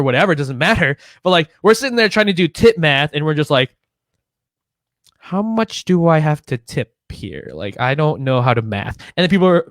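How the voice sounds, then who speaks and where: A young man from Illinois co-hosting a podcast, chatting casually, profane and animated, laughing often. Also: a little high, no room sound, studio quality. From Illinois, United States. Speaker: podcast co-host. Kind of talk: casual chat.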